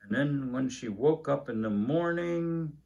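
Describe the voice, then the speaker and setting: A man in his seventies reads a children's Christmas story aloud, unhurried, with small hesitations. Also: medium pitch, expressive, creaky, dark tone, dull audio. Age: 70s. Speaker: story reader.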